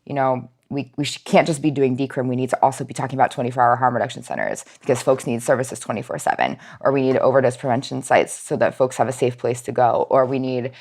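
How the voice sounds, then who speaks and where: Low-voiced American woman explaining casually. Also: fast, few pauses, darkish tone. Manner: informal, explanatory, frequent "like" asides. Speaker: interview guest, nonprofit outreach manager. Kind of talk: conversational podcast interview.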